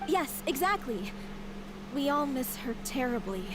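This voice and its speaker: A soft, wistful stage performer mourning her mentor. Her voice is fairly high. A clear hiss of noise runs under the game audio. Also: sing-song, measured pace. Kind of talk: game voice acting.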